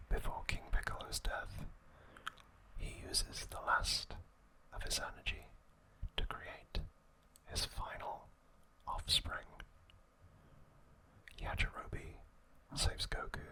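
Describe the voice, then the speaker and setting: Slow whispering male ASMR host. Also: pushed and bright, rough recording. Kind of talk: whispered ASMR, reading episode summaries and chatting to viewers.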